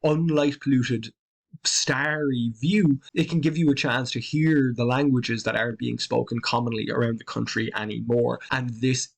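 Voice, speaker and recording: A man with an Irish accent calmly explaining the night sky in a conversational, educational voice-over. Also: medium pitch, moderate pace, creaky. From Ireland. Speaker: astronomy presenter.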